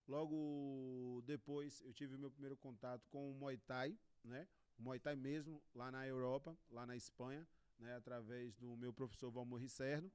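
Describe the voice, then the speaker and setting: A man from Bahia with a Bahian accent, a martial arts master and podcast guest, talking in a relaxed, friendly way with a lot of fillers. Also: medium pitch, moderate pace, clean tone. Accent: Bahian Portuguese. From Bahia, Brazil. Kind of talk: podcast interview. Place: studio.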